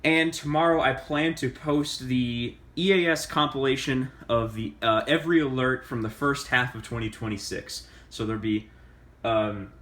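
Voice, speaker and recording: A man giving a casual, unscripted how-to demonstration, halting and often correcting himself as he talks. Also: medium pitch, fairly bright tone. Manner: halting, self-correcting delivery. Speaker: host of a hobbyist demonstration video.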